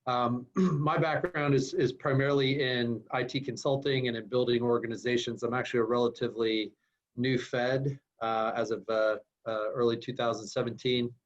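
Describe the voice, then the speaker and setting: A man's voice: medium pitch, fairly even intonation. A man who is a government official introducing himself on a panel in a businesslike way with fillers. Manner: businesslike, fillers, brisk self-summary. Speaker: government official, guest speaker. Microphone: video call. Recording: muffled video call audio.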